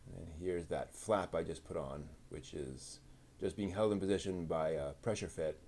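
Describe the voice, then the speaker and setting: A man's voice: low-pitched, moderate pace, darkish tone, a little hiss or hum. A man thinking aloud and muttering to himself as he puts together a model kit, reading out part numbers in a casual running commentary. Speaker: show host.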